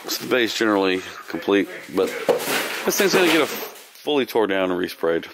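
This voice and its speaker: Excitable joking man filming a barn. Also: fairly low pitch, brisk. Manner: loud, excitable, jokey, swears casually. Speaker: channel host filming. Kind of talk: casual joking vlog chat. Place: old barn and overgrown yard outdoors. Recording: noisy outdoor camera audio.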